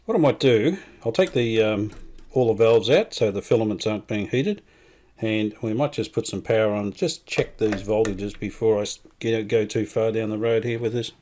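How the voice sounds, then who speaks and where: A middle-aged man, talking casually while he tests and repairs an old radio in his workshop, thinking aloud with many pauses and restarts. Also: lowish voice, a little mellow, a little hiss or hum. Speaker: radio restorer, channel host. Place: home workshop bench.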